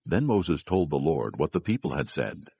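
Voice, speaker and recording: Very deep, gravelly male narrator. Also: sing-song, normal speed, warm tone, dry recording. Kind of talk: scripted scripture narration.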